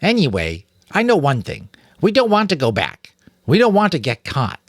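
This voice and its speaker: A man's voice: fairly high pitch, wildly swooping pitch, moderate pace, dry recording, clean studio sound, voice pushed up, exaggerated voice. A man voicing a small young girl rat, childishly earnest, blunt and suspicious, in an audiobook. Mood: naive, earnest.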